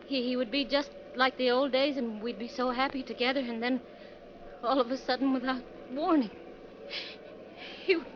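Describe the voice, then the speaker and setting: A woman's voice: high-pitched, fairly even intonation, normal speed, long pauses, lightly acted. A woman playing a nervous, troubled wife on a bus trip in a 1940s radio drama, speaking hesitantly and earnestly. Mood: wistful sorrow. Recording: dull, noisy 1940s radio recording.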